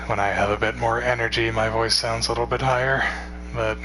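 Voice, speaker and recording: A tired man of twenty-one chatting casually with stream viewers in a rambling, relaxed way, swearing now and then. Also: mid-range voice, brisk, noisy background.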